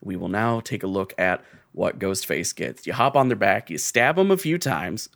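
A man's voice: lowish voice, lively intonation, moderate pace, vocal fry, a bit bright, dry recording, studio quality. A man hosting a casual commentary on a video game, joking and swearing as he goes. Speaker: podcast host.

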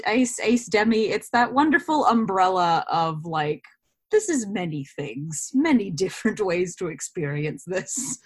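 A woman in her twenties chatting casually as an interview guest, talking in a reflective, think-out-loud way. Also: medium pitch. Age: 20s.

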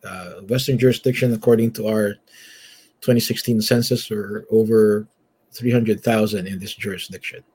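A man from the Philippines with a Filipino accent and a husky voice, telling his story conversationally as an interview guest. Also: fairly low pitch, steady tone, moderate pace, darkish tone. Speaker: church elder and guest.

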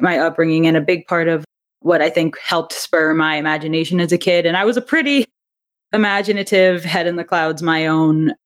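A woman chatting casually as an interview guest, rambling a little hesitantly and correcting herself as she goes. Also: lowish voice. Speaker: podcast guest, audio drama creator.

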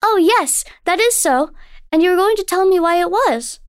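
Storybook little girl, played by a woman: innocent, wide-eyed and questioning, pitched well up, close dry sound. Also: moderate pace, no echo. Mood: eager. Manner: excited, earnest, questioning. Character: eager wide-eyed young girl, curious, sweet, innocent.

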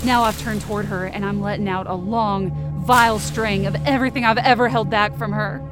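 A woman's voice: medium pitch, steady tone, brisk, over music, close to an everyday voice. A woman playing a wounded, angry, defiant young woman, narrating in the first person with intense feeling in an audio drama. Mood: hurt, resolute. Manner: intense, emotive.

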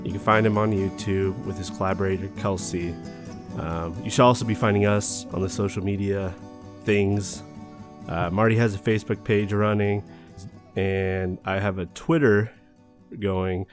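A man's voice: fairly low pitch, fairly even intonation, a little slow, faint music behind. A man hosting a casual podcast, talking in a friendly, rambling way and laughing easily. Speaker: podcast host. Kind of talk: casual chat.

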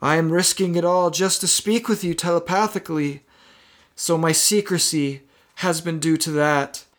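A man voicing a mysterious, ancient telepathic alien in an old person's voice, speaking formally and in measured phrases. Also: fairly high pitch, steady tone, a bit bright, pitched above the performer's own voice, natural voice. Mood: solemn, confiding. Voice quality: stereotypical wrinkly old-person voice.

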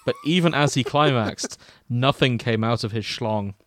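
A man's voice: medium pitch, normal speed, fairly bright tone. A man hosting a comedy podcast, reading fan fiction aloud as narrator with casual banter, prone to breaking into giggles.

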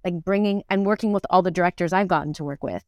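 A woman's voice: lowish voice, fast, darkish tone, no echo, studio quality. A middle-aged woman talking casually and reflectively as an interview guest, searching for words and often checking in with "right?". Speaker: guest, actor, director and acting teacher.

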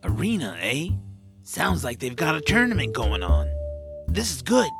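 A man's voice: fairly high pitch, wildly swooping pitch, moderate pace, creaky, music in the background, heavily acted. An actor playing a reluctant, complaining young male hero in a fantasy audio drama. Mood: conspiratorial. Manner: complaining, exasperated.

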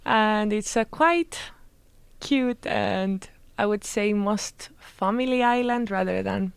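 A woman's voice: medium pitch, takes it slowly, gravelly, smooth, no room sound. Young woman, co-host, casual chat, laughs a lot, dry close sound. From northern Greece. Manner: laughs often, chatty. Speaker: radio show co-host.

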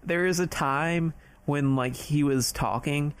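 A goofy young adult with a gravelly voice, chatting casually and laughing often on a podcast. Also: medium pitch, slow pace. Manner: goofy, playful. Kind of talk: casual chat.

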